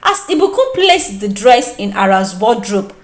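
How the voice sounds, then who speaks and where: A woman with a Nigerian accent narrating a folktale in an expressive, deliberate, dramatic way. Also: mid-range voice, echoey room. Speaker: storyteller, narrator.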